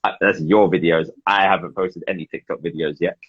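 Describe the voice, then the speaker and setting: A man's voice: low-pitched, steady tone, a little fast, breathy edge. A man hosting a casual home video call, chatty and rambling, laughing often. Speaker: podcast host. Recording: dull lo-fi sound.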